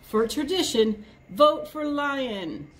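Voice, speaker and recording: A woman's voice: high-pitched, animated, slow pace, pitched above the performer's own voice. Woman doing a pompous lion king. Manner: grand, self-important speechmaking.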